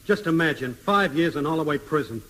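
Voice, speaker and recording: A wisecracking Australian sidekick in vintage British radio comedy. His voice is bright and slightly high, his attitude laid-back. The recording is reverberant with faint hiss. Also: animated, fairly quick. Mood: dryly joking.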